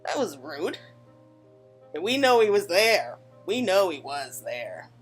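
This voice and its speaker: A woman hosting a casual game playthrough in an excitable, gushing manner. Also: lowish voice, takes it slowly. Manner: excitable, gushing, reads game dialogue aloud. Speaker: gaming channel host. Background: game music.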